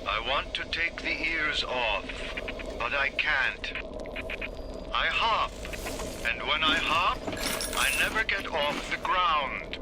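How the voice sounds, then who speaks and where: A man's voice: a little high, lively intonation, slow. A male actor playing a flamboyant, unhinged, sadistic artist in a video game, with a theatrical, grandiose delivery. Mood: brooding, poetic. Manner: theatrical, grandiose, swinging from hushed whispers to outbursts. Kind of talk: dramatic video game monologue. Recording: loud music and noisy ambience underneath.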